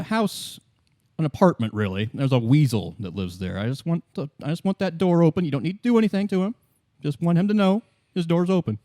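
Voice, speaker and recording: A slyly corrupt union boss as a man acts him out in a roleplay game, all ingratiating friendliness and reassurance. The pitch swings freely and the sound is dry. He coaxes like everyone's best pal. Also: warm tone, no room sound. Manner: chummy, wheedling, over-assuring.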